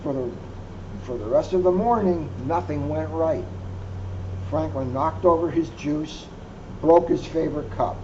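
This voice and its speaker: A police officer reading a children's picture book aloud, adding wry asides. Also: male voice, a little high, unhurried, darkish tone. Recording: muffled lo-fi recording with faint hum.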